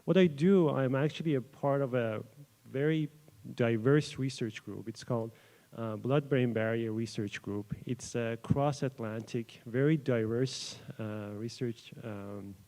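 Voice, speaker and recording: A young man from Iran, a Persian speaker, presents research to a live audience at a summit. His voice sits at an ordinary middle pitch. His delivery is loose and informal, broken by frequent ums, uhs and restarted phrases as he speaks into a podium microphone. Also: unhurried, dark tone. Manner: hesitant, frequent fillers and restarts. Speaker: doctoral researcher giving a conference talk. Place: event room at a live summit. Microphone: microphone adjusted at the podium.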